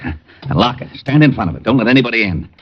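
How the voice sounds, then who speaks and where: An exasperated American police lieutenant with a deep voice. He is huffy and pointed, a flustered boss in a vintage radio play. The sound is dull and aged. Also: sing-song, fast talker, slight background noise. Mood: panicked. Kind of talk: old-time radio detective drama acting.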